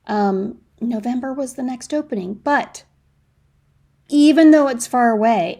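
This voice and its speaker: A woman's voice: fairly high pitch, darkish tone. A woman hosting a solo podcast, chatting conversationally through personal anecdotes and practical advice, leaning on key words.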